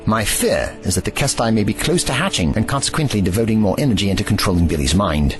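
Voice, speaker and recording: A man's voice: lowish voice, expressive, fast, music in the background, natural voice. A man playing a grave, erudite ancient time traveller in an audio drama, with formal, slightly pompous phrasing.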